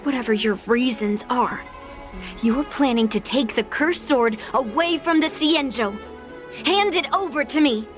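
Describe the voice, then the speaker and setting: A woman's voice: high-pitched, unhurried, over music. A woman playing a headstrong young sword fighter in a video game, forthright and insistent. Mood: accusing, demanding. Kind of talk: dramatic video game voice acting.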